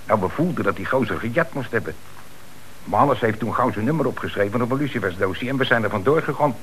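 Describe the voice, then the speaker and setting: A man acting in a radio play as an excitable, streetwise young suspect, slangy, hesitant and defensive. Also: mid-range voice, fast talker, a little hiss or hum, close to an everyday voice, low-quality recording. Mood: excited, hesitant. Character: excitable young streetwise male suspect telling his story.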